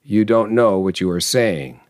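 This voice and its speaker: Elderly husband, played by a male narrator, low voice, shaken and faltering, full of dread, storybook drama, very dry sound. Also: animated, a little slow, darkish tone, no echo. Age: older. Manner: unsteady, shaking, faltering. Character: frightened, trembling old husband, aghast and pleading.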